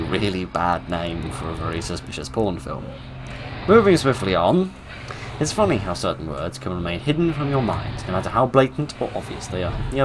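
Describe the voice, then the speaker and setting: A British man giving casual commentary on a game and reading its story aloud, with dry, tongue-in-cheek humour. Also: lowish voice. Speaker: gamer narrating a horror game playthrough.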